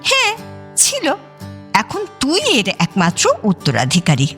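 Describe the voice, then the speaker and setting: A woman's voice: a little high, huge pitch swings, measured pace, fairly bright tone, faint music behind, heavily acted. A woman playing a proud, chiding middle-aged mother of a wealthy household in an audio drama. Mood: solemn.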